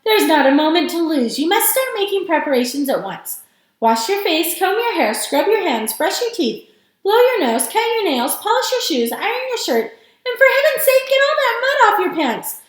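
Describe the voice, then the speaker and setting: A woman voicing an excitable, bossy old grandfather in a storybook, loud, urgent and exclamatory. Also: high-pitched, brisk, hardly any pauses, voice pushed up. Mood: urgent excitement. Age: older. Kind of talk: storybook character reading. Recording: strongly echoing room.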